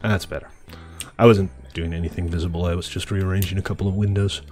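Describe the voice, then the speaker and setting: A man's voice: sits low, little pitch movement, fast talker, darkish tone, soft background music. A man streaming a game, a little stuffy in the nose, chatting easily with friends and thinking aloud as he plays. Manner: easygoing, thinking aloud with fillers. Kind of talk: casual gameplay chat.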